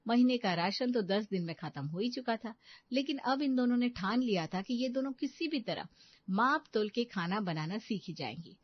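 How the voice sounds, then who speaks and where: A woman's voice: fairly high pitch, animated, moderate pace, dull audio. A woman reading scripted narration for a children's story.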